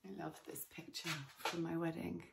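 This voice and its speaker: A woman's voice: low-pitched, flat delivery, moderate pace, gravelly. Chatty woman in an echoey room. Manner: friendly how-to chat with filler words, working as she talks. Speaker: home and cleaning vlogger. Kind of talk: chatty cleaning demonstration. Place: sunlit garden room in a home. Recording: echoey room with faint noise.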